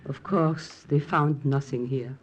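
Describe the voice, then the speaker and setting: Low-voiced, composed Mother Superior. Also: steady tone, takes it slowly, dull audio, slight background noise. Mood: calm, assured. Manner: composed, formal, authoritative. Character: calm, shrewd, protective Mother Superior of a French convent. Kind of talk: 1940s radio drama acting.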